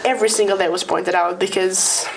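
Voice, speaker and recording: A woman's voice: mid-range voice, a little fast, creaky. Young english woman, chatty guest, storytelling, bit of hiss on the track. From England. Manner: lively storytelling with tag questions. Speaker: guest employee. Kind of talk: casual interview chat.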